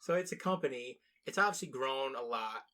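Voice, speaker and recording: A man's voice: medium pitch, lively intonation, brisk, pushed and bright. A young man from the United States, co-hosting a casual podcast chat, rambling with restarts and plenty of swearing. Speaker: podcast co-host.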